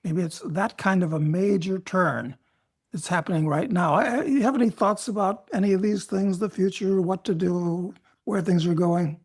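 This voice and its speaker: A man hosting an interview, asking broad questions in an unhurried, musing way. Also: high-pitched, darkish tone. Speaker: host.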